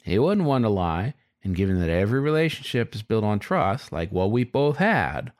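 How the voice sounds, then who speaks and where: A man's voice: lowish voice, big pitch swings, normal speed. A man voicing a sly, frustrated male fox who narrates his story in a wry, confiding, colloquial way, as in an audiobook. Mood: resigned, frustrated.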